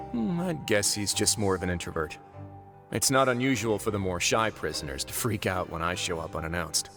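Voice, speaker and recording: A man playing a calm, wry, authoritative prison overseer in a video game, speaking in a composed, formal way. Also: on the low side. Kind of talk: video game character voice acting.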